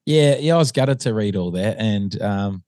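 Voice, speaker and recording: Slightly hoarse New Zealand man, low voice. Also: expressive, moderate pace, darkish tone, dry recording, clean studio sound. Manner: hesitant, many ums and restarts. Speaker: assistant rugby coach, guest. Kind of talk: casual interview chat.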